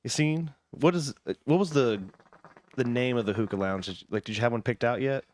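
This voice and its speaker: A man's voice: mid-range voice, brisk, darkish tone. A man hosting a casual podcast, chatting informally with plenty of slangy dudes. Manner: informal, slangy, says dude and man often. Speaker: podcast host.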